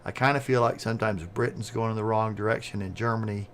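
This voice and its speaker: A man with a Southern American accent chatting casually on a live stream, talking fast and rambling. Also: on the low side, steady tone, a bit bright, slight background noise. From southern United States. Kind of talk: casual chat.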